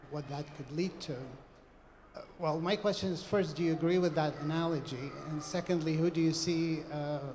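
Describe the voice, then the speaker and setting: A man from Iraq politely putting a formal question as an audience member, in a courteous, deliberate way. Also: a little high, a little mellow. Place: large book fair session hall. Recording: echoey hall, audible background noise.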